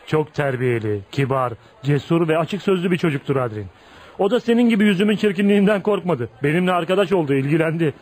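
An actor playing a rough, hot-tempered but loyal tavern brawler with a gruff, blunt way of talking in a radio play. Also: male voice, fairly high pitch, animated, fast, a little mellow. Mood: gruff, protective. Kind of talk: Turkish radio theatre acting.

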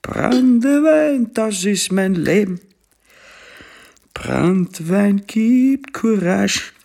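An actor playing a drunken, boastful military bully in a German radio drama, raspy and threatening. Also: male voice, high-pitched, expressive, drawn-out words, darkish tone, full character voice. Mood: taunting menace. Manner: swaggering, threatening.

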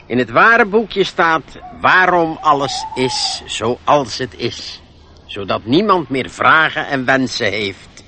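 An actor playing a secretive, self-important old gnome who talks in riddles in a radio play. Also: male voice, mid-range voice, animated, takes it slowly, sharp tone, slight background noise, exaggerated voice. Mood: musing, mysterious. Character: secretive, wary old bookish male gnome. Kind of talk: radio drama acting.

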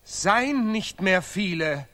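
An actor playing an excitable, pompous, sentimental scholar in an absurdist radio play, declaiming chopped phrases in broken grammar. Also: male voice, high voice, slow, exaggerated voice. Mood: solemn. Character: second pompous male laureate scholar, zealous, sentimental, excitable.

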